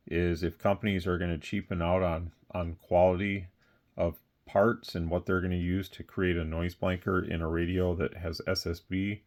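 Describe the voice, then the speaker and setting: A man with a deep voice talks off the cuff, like a small seller showing an item. His delivery stays quite flat and plainspoken. He rambles a little, qualifying his points as he goes. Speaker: radio seller presenting a product. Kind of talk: casual off-the-cuff product talk.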